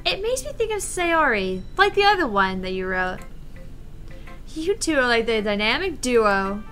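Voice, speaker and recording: A woman's voice: on the high side, big pitch swings, moderate pace, a bit bright, soft background music. A woman voicing a friendly, teasing teenage club girl in a visual novel read-aloud, chatty and encouraging.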